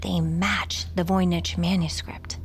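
A woman's voice: on the low side, little pitch movement, slow pace, a little hiss or hum. An actress playing an eccentric, brusque and superstitious woman who is an occult expert, speaking in a pompous, theatrical manner in an audio drama. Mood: lecturing, dramatic. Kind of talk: audio fiction drama acting.

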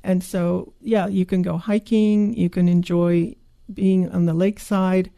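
A woman from Japan, a guidebook author and tour guide, calmly explaining things in a radio interview. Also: fairly low pitch, unhurried, vocal fry, dry recording, studio quality. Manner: calm, informative explaining.

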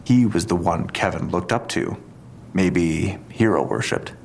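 Very deep, measured male detective. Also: creaky, a little mellow, a little hiss or hum. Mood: measured, businesslike. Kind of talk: audio drama acting.

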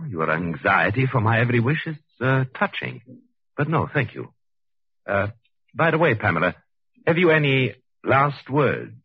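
A suave, murderous husband in a vintage radio thriller. His husky voice moves expressively through mocking, deliberate phrases. The old recording is muffled and close, with faint music. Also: medium pitch, very animated, no room sound, heavily acted. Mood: sardonic, menacing. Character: coldly mocking murderous husband, narrating his own trial. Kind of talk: 1940s radio acting.

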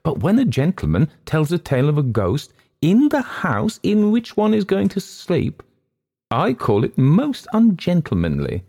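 A man voicing a nervous, indignant gentleman who sounds uneasy and huffy, in a storybook reading. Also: a little high, huge pitch swings, measured pace, vocal fry, voice pushed up, heavily acted.

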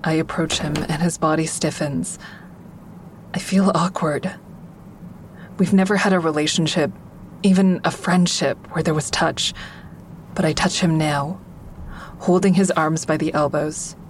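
A woman's voice: sits low, fairly even intonation, a little slow, long pauses, a little hiss or hum. An actress playing a tense, watchful woman who narrates her own troubled story in an audio drama. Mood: somber, uneasy. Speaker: narrator and lead actor in a fiction podcast. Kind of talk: first-person dramatic narration.

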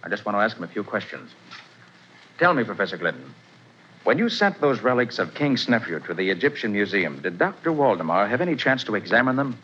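A calm, commanding master detective with a low voice that rises and falls with emphasis. His tone is bright and deliberate. The sound is dull and faintly hissy, like an old radio show. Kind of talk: 1940s radio drama acting.

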